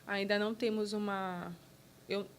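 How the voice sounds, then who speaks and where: A woman's voice: mid-range voice, flat delivery, a little slow, a little hiss or hum. A woman, a business owner speaking in an interview in an earnest, emphatic way, often restarting phrases. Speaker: podcast guest, business owner. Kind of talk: conversational interview.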